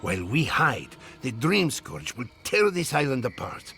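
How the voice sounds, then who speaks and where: An actor playing a principled, dryly affectionate scholar mage in a video game, speaking with wry expression. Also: male voice, medium pitch, big pitch swings, unhurried, fairly bright tone, soft background music. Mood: earnest. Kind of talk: scripted video game dialogue.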